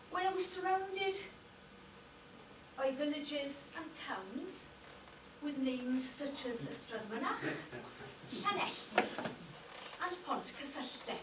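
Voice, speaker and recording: Welsh woman, lecturer, measured delivery, room hum behind, distant echoey recording. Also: sits high. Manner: measured, lists names with careful emphasis. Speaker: university lecturer. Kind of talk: public lecture. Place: darkened lecture hall. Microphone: far room recording. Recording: echoey hall recorded from afar.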